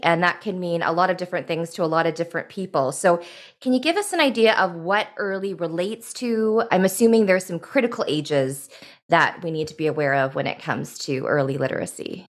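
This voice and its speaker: A woman's voice: fairly low pitch, brisk. A woman hosting a podcast, putting questions to guests in a conversational interviewing style. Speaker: podcast host.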